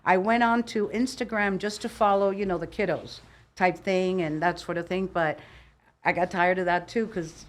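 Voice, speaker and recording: A woman's voice: on the low side, moderate pace. An older woman from Cuba, a boisterous and opinionated guest telling stories in a casual podcast interview. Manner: boisterous, opinionated, animated storyteller. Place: podcast studio.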